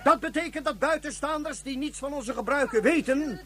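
Very high-voiced solemn ruler. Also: male voice, moderate pace, a bit bright. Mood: stirring appeal. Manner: rhetorical, emphatic, repeats phrases. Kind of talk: radio drama oratory.

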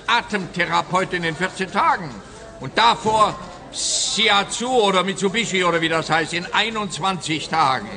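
A pompous, needling policeman in a radio crime comedy. His voice sits fairly high and is sharp and forward, ringing in a reverberant room. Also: heavily acted. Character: male police officer, smug, pompous, grumbling, needling a private detective. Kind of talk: German-language radio comedy crime play.

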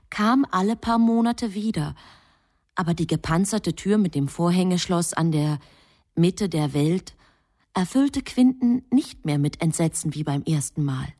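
Woman narrator, deep register, composed, scripted literary storytelling, radio drama, close dry sound. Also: darkish tone, dry recording.

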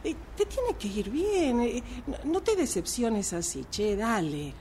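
A woman's voice: on the high side, wildly swooping pitch, measured pace, dark tone. A woman playing a supportive, insistent friend in a radio drama, with an Argentine accent and hesitant, coaxing phrasing. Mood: encouraging. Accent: Argentine Rioplatense. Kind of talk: radio drama workshop dialogue. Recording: noisy amateur workshop recording.

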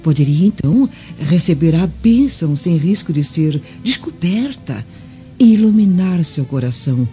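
An actress playing a devoted, cautious slave maid in a Brazilian Portuguese radio drama, deferential and gentle. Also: female voice, fairly low pitch, animated, moderate pace, close to an everyday voice. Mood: earnest. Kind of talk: dubbed radio drama acting. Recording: dull lo-fi audio with music underneath.

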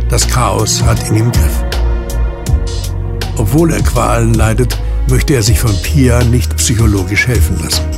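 A man's voice: mid-range voice, creaky. A man reading scripted narration for an audio drama. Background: music.

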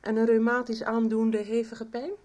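An actress playing a matter-of-fact doctor questioning a patient in a 1990s Dutch radio soap. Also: female voice, fairly high pitch, monotone, normal speed, a little mellow, natural voice. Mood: clinical.

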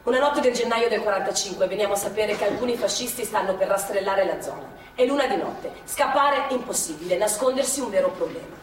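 An actress playing a woman partisan who was on the run, recounting in a measured, sober manner. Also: mid-range voice, far from the mic, lightly acted. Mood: grave. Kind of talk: stage narration. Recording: echoey stage from afar with noise.

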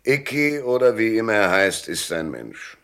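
An actor playing a wry, laconic private detective who narrates and talks in a German noir radio drama. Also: male voice, on the low side, big pitch swings, normal speed, fairly bright tone, smooth. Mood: wry, insistent. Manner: laconic, sardonic. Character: hard-boiled, wry male private detective and first-person narrator.

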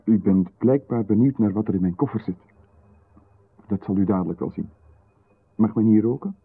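An actor playing an intrusive, oddly demanding male visitor, calm and coolly insistent. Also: on the low side, normal speed, husky. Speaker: radio drama actor. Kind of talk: 1990s radio play acting. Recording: tinny narrow-band radio sound.

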